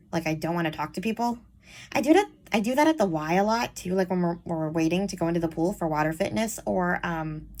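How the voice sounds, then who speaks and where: A woman chats to her audience in a voice that sounds childish and young. Her pitch swings up and down a lot as she rambles. She speaks frankly and uses plenty of fillers. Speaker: vlogger. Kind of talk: casual chat to camera.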